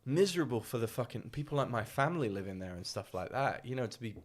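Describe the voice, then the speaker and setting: A man's voice: medium pitch, lively intonation, quick pace. A man with a British accent, an English teacher living abroad, co-hosting a podcast in casual chat and rambling with lots of swearing and laughter. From England. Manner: rambling, profane, laughs often.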